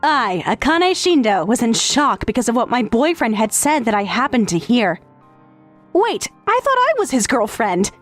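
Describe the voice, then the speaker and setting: A woman's voice: fairly high pitch, sing-song, moderate pace, faint music behind. A woman playing a possessive, self-assured teenage schoolgirl in a dramatic anime dub style. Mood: smug, proud. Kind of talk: anime-style dub acting with narrated monologue.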